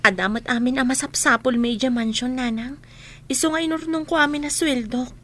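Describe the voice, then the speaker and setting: Casting: sincere dutiful daughter, very high voice, Ilocano accent, radio drama. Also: female voice, a little fast, fairly bright tone. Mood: earnest. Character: dutiful, earnest working daughter home from the city.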